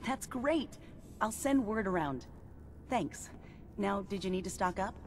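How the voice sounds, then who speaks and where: An actress playing an upbeat religious sister who works as a cult's quartermaster and tinkerer in a video game. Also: female voice, medium pitch, expressive, measured pace. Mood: businesslike, friendly. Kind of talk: video game dialogue acting. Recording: game audio with faint background noise.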